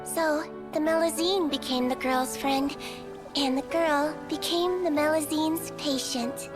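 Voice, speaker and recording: A woman voicing a gentle, polite, childlike little nurse creature in a video game, speaking softly and earnestly. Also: high voice, steady tone, a little slow, music in the background. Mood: wistful storytelling. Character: childlike gentle female Melusine nurse, a small shapeshifter. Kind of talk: video game character acting and storybook narration.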